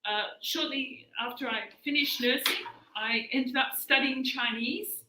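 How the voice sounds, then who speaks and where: Bright, chatty Australian woman in her fifties. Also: high-pitched, measured pace, slight background noise. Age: 50s. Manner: chatty, hesitant, many fillers, switches into Mandarin. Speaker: cooking instructor. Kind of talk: live cooking demonstration. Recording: echoey room.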